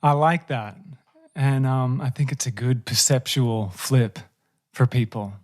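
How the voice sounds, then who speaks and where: A man hosting a podcast, asking earnest, thoughtful questions in a relaxed conversational way. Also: mid-range voice, a little slow. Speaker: podcast host. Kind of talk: casual interview chat.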